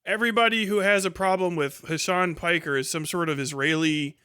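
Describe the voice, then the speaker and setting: Male livestream host, crisp and punchy, slightly high register, casual, sweary, sardonic delivery. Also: a little fast, pushed and bright, dry recording, clean studio sound. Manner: profane, sardonic. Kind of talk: casual livestream chat, reading viewer comments.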